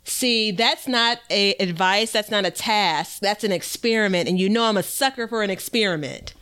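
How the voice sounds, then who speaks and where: A woman co-hosting a gardening show in casual, unscripted conversation, talking in a playful, animated, chatty way. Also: medium pitch, moderate pace, clear, resonant tone. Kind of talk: casual unscripted co-hosted chat.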